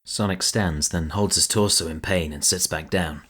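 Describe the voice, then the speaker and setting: Male narrator, low register, scripted scene-setting for a radio-play style production. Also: moderate pace, fairly bright tone, husky. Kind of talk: scripted audio drama narration.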